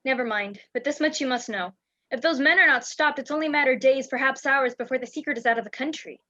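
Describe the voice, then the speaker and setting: A woman's voice: sits high, fast, sharp tone. A young woman playing a frightened, secretive spy in an amateur radio play, speaking urgently and dramatically. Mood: urgent, grave. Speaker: student actor in a school radio play. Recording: dull lo-fi home recording.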